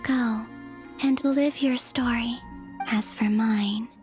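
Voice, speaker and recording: A woman playing a gentle, melancholy young moon maiden in a video game, musing to herself in hushed tones with breathy sighs. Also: a little high, steady tone, slow pace. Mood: tender, resolved. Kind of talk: dramatic video game voice acting. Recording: background music under the voice.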